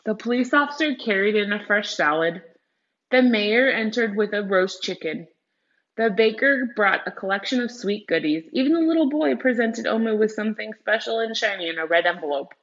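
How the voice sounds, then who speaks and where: A woman's voice: a little high, a bit bright, highs cut off. A woman teacher doing a read-aloud lesson for children.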